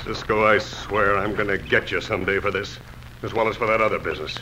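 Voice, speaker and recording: An actor playing a surly, vengeful bandit leader with an American Western accent and rough, menacing talk in an old radio western. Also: male voice, on the low side, steady tone, quick pace, lightly acted. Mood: bitter. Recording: noisy old radio drama recording.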